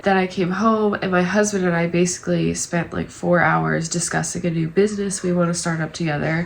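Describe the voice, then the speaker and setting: Young woman vlogger, slightly nasal, gravelly. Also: on the low side, slight background noise. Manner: chatty, with many "like" fillers. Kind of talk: casual vlog talk to camera.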